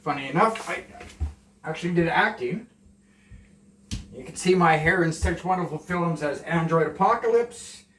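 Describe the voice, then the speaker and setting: A man in his forties rambles cheerfully while he cooks, poking fun at himself and admitting camera nerves. His voice sits a bit high. The sound is roomy and picked up from across a small home kitchen, with a faint hiss behind. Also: moderate pace, pauses often, fairly bright tone. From Canada. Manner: rambling, self-deprecating, jokey asides. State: nervous on camera. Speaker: home cooking vlogger. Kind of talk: casual cooking chat. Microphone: camera a few steps away. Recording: roomy, distant camera mic.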